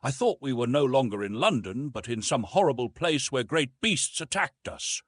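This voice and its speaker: A man's voice: mid-range voice, sing-song, moderate pace, breathy edge, no room sound, studio quality. A man voicing a frail, dazed young English wife in an audiobook, relieved and trusting, with an emotive manner.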